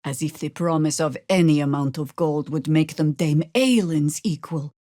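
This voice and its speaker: Battle-worn older druid played by a woman for a fantasy game: lowish voice, dry studio sound, wry and measured wording. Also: dark tone, no room sound, close to an everyday voice. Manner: dry, wry, deliberate formal phrasing. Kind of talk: video game character acting.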